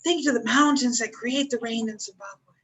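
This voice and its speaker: A woman's voice: a little high, brisk. A woman in her fifties, talking earnestly in a spiritual chat and repeating her thanks. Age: 50s.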